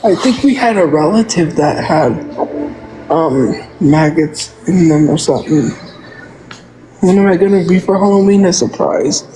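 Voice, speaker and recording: A man's voice: fairly high pitch, measured pace, mellow, a little hiss or hum, rough recording. A man in his thirties with a congested nose, rambling and venting in casual livestream chat. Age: 30s. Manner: rambling, venting, repeats himself.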